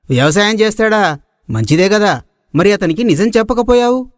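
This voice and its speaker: A man's voice: sits high, animated, a little slow, no echo, studio quality. A man playing a haughty village moneylender and landlord with a condescending manner. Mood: mocking, dismissive.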